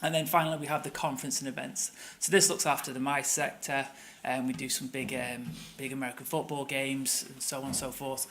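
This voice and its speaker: A man's voice: mid-range voice, little pitch movement, fairly quick, hardly any pauses, a bit bright, slight background noise. A middle-aged man with a Manchester accent giving a conversational conference presentation, with many fillers and restarts. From England. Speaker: tour operator presenter. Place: conference room.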